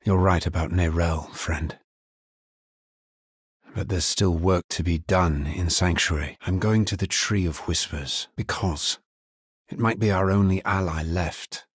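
A grim necromancer from a dark video game, speaking very low and husky in short, measured lines. He sounds grave and dutiful, recorded close and dry with no room around him. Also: fairly even intonation, no room sound. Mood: grave, watchful.

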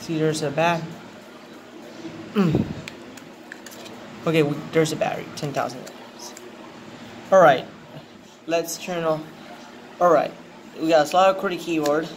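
A man with a fairly high voice talks through an unboxing, excited and thinking aloud as he goes. He films on a handheld phone in a tiled indoor room. Steady room noise sits clearly under his voice. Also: measured pace, pauses often. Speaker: unboxing video host. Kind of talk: casual unboxing narration. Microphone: handheld phone camera. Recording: noisy room ambience.

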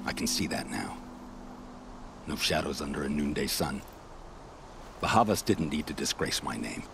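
Very deep-voiced, weary soldier captain. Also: male voice, expressive, gravelly, background noise, exaggerated voice. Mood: weary, bitter. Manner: grave, measured, resigned. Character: weary, honour-bound middle-aged soldier captain, a disgraced man. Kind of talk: dramatic video game acting.

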